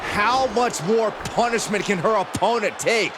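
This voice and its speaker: A man voicing an excitable, outraged wrestling commentator in a video game. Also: high-pitched, unhurried. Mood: outraged, alarmed. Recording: noisy arena crowd behind.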